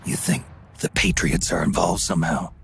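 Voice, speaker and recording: Very gravelly, gruff veteran soldier. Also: male voice, deep voice, steady tone, normal speed, slight background noise. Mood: wary, suspicious. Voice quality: gravelly, breathy growl. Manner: clipped, suspicious questioning. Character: gruff, terse, wary male veteran soldier. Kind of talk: video game cutscene acting.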